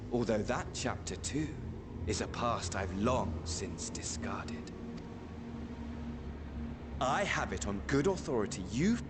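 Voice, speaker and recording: An actor playing a flippant, sinister sorcerer villain in a video game, languid and theatrical with coy pauses. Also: male voice, mid-range voice, noisy background. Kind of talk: video game cutscene acting.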